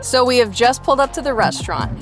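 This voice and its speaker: Young woman vlogging, deep-ish voice but a touch higher than most, giggly, music behind. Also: animated, quick pace, rough recording. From Bloomington, Indiana. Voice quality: deep voice for a woman, by her own account. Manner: playful, laughs often. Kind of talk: casual vlog narration. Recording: music playing underneath.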